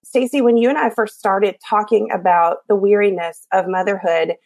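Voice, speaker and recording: A woman's voice: medium pitch, fairly even intonation, normal speed, gravelly, darkish tone, no room sound. A woman podcast host with an Appalachian accent, her voice scratchy from allergies, talking in an encouraging, chatty way with small jokes. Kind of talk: scripted podcast intro and casual chat.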